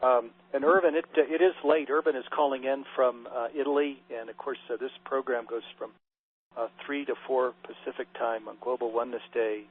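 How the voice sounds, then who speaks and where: A man hosting a call-in radio panel, speaking in a relaxed way with many fillers as he hands the floor to a guest. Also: medium pitch, a little fast. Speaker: radio program host. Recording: husky tinny phone line.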